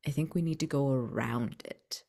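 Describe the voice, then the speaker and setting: A woman playing a gentle, earnest young man in a tabletop game, hesitant and thoughtful, nervously polite. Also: extremely low pitch, moderate pace, gravelly, exaggerated voice. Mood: wary, resolved. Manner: hesitant, thoughtful, with false starts. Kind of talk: in-character tabletop roleplay.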